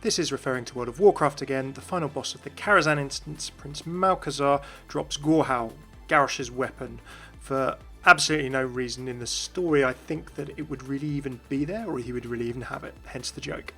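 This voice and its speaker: A man's voice: mid-range voice, brisk, fairly bright tone, breathy edge. A man giving explanatory commentary as the host of a gaming video. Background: music.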